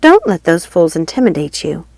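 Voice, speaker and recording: A proud, defiant fairy woman, as a reader plays her, speaks in a cool, measured way. The sound is dry and close. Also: lowish voice, huge pitch swings, darkish tone, dry recording.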